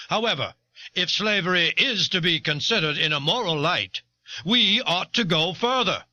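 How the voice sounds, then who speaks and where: A man's voice: on the high side, sharp tone, full character voice. A man playing a pragmatic eighteenth-century delegate, arguing formally and emphatically in a historical dramatization on old cassette. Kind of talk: dramatized historical debate speech. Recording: dull old cassette tape.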